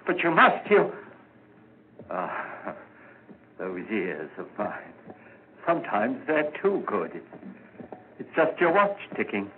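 On a narrow, faintly hissy vintage radio recording, a guilt-ridden murderer in melodramatic horror style. His voice swings widely in pitch, halting and stammering as he tries to hide his crime. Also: very animated. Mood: nervous panic. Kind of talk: 1940s radio horror drama acting. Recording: scratchy, narrow-band old radio recording.

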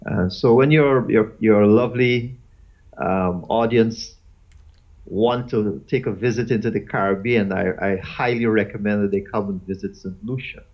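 A man in his fifties from Guyana, talking as an interview guest in a halting way with many fillers and restarts. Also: fairly low pitch. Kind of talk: casual interview chat. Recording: dull lo-fi audio.

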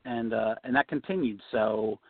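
A man being interviewed as a guest designer, relaxed, talking with many you-knows and ums. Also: fairly low pitch, normal speed, creaky, breathy edge, no echo. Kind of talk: casual interview answers. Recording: tinny phone-line sound.

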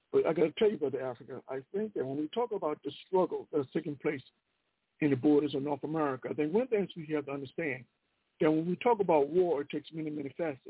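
Husky male panelist on a phone line. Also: a little high, no echo. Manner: emphatic, repeats key phrases. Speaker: political panelist. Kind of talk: earnest political commentary. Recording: dry, narrow phone-line sound.

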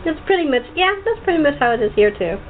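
A woman's voice: sits high, very animated, quick pace. A woman hosting a casual chat, laughing often and restarting her sentences. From United States. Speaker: host. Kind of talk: casual chat over a call. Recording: tinny phone-like line, faint noise.

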